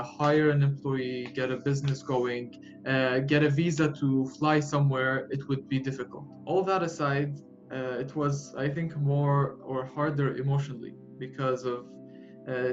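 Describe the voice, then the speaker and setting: A young man in his twenties with a Jordanian Arabic accent, telling his own story in an interview with frequent pauses, fillers and restarts. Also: medium pitch. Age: 20s. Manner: halting, with many fillers and restarts. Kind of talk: personal storytelling. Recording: muffled, with music behind.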